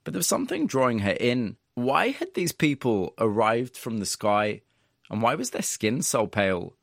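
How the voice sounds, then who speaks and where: A man's voice: medium pitch, sing-song, normal speed, gravelly, no room sound, clean studio sound. A man hosting, moving between scripted narration and interview questions.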